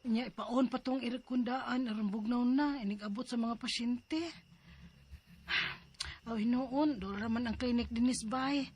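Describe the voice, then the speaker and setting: A woman's voice: a little high, steady tone, measured pace, darkish tone, breathy edge. A woman telling a dramatized first-person story in a plaintive, confiding way. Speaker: storyteller narrating as the letter-sender.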